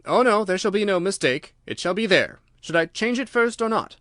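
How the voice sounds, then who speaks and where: A man's voice: sits high, lively intonation, moderate pace, dry recording, clean studio sound, pitched above the performer's own voice. A man voicing a fierce, blustering elderly bully of a horse trainer in a storybook reading. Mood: cringing, anxious. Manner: blustering, shouting.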